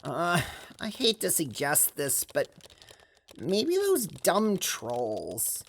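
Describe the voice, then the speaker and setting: A woman playing an earnest, feisty teenage girl in an amateur audio drama, halting and casual, blunt when annoyed. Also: fairly low pitch, wildly swooping pitch, heavily acted. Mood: uneasy, hesitant.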